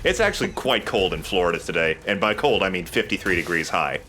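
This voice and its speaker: Man, low forceful bright voice, enthusiastic casual game commentary, faint noise behind. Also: animated, fast talker. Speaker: let's play host and player.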